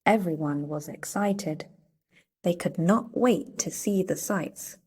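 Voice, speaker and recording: Slow, soft-toned woman reading a storybook. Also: lowish voice, slightly rough. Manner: playful, deliberate storytelling. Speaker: children's story reader. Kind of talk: read-aloud storybook narration.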